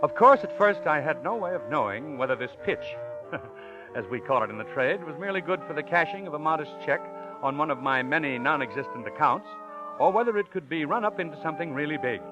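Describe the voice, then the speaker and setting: A man's voice: on the high side, lively intonation, fairly quick, music in the background, close to an everyday voice. Man playing a pompous, theatrical old-radio con man. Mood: sly, self-satisfied. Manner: pompous, wordy, theatrical delivery. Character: smooth-talking male con man posing as a learned professor. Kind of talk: 1940s radio drama acting with narration. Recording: muffled old radio broadcast.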